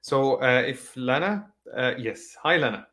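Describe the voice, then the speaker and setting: A man's voice: medium pitch, lively intonation, a little slow, bright, forceful tone. A man moderating an online session, giving formal, welcoming introductions and questions to his guest. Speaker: host, moderator. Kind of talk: hosting an online panel session.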